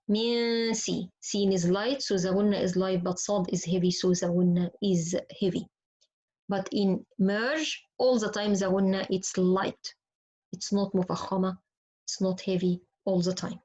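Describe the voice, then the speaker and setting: A woman's voice: mid-range voice, a little mellow. A woman with an Arabic accent, a native Arabic speaker, teaching recitation rules step by step, repeating points and asking questions that she answers herself. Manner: instructive, repetitive, poses questions then answers. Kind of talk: explanatory lesson with recited examples. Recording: dull, lo-fi class audio.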